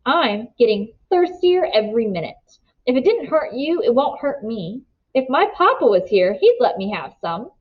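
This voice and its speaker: A woman's voice: on the high side, very animated, normal speed, hardly any pauses, lo-fi sound, voice pushed up. A woman voicing a prim, curious, stubborn ten-year-old girl in a storybook, with an insistent, questioning manner. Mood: stubborn, plaintive.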